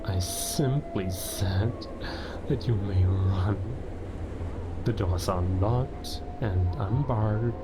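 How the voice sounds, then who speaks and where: Man as a slow, deep-voiced sinister beast king. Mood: taunting menace. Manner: slow, deliberate, taunting. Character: sinister, grandiose self-proclaimed male beast king. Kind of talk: cinematic audio drama villain acting. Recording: noisy ambience behind the voice.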